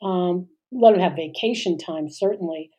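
A middle-aged woman with a mellow, fairly low voice co-hosts a podcast. She talks casually and haltingly, trails off, and fills gaps with "uh" and "you know". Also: husky. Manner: hesitant, trailing off, many fillers. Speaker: podcast co-host.

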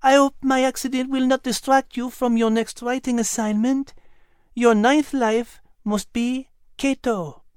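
A woman voicing a coy, clever female cat with a French accent and a precise, unhurried manner in an audio story. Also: a little high, steady tone, a little mellow, smooth, dry recording, studio quality, voice pushed up, lightly acted. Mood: polite, gracious.

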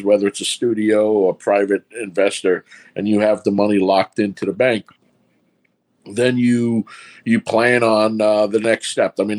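A man with a New York accent, a filmmaker talking casually through his process step by step. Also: lowish voice. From New York City. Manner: rambling, explains step by step. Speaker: filmmaker guest. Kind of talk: casual podcast chat.

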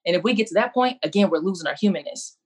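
A woman's voice: medium pitch, lively intonation, very fast, breathy edge. A woman coach speaking as a panelist on a video call, earnest and preacherly, repeating her key phrases. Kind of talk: impassioned faith-based speech on a video call.

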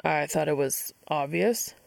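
Woman reading as a gruff awkward guy in a story, lowish, very gravelly, halting. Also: no echo, clean studio sound, full character voice. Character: gruff, awkward, guarded adult man, dryly fond.

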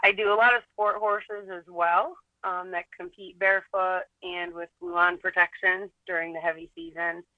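A woman hoof trimmer talking about her work in an even, measured way, over the phone. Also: fairly low pitch, no echo. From New York State. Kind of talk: phone interview. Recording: tinny phone line.

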